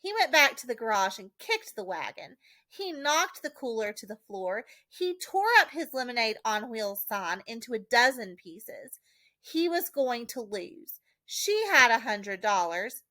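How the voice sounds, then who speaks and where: A woman reading a children's storybook expressively, with dramatic, deliberate emphasis. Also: a little high, big pitch swings, sharp tone, dry recording. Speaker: audiobook reader, teacher.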